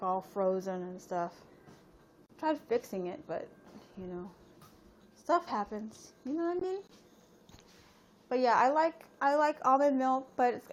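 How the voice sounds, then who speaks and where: Chatty woman live-streaming, slightly high voice. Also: slight background noise. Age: 30s. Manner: rambling, talks straight to viewers with many fillers. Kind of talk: casual live-stream chat. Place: at home.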